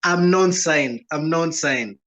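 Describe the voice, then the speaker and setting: A man, a guest musician answering interview questions in a calm, measured, unhurried way. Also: fairly high pitch. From Uganda, Rwandan roots. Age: 30s. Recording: dull lo-fi sound.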